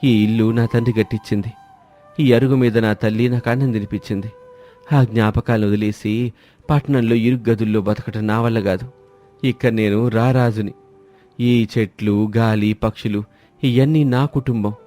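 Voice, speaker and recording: An actor playing a kind, stubborn village man who lives alone, speaking gently and reassuringly. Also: fairly low pitch, steady tone, normal speed, faint music behind, close to an everyday voice.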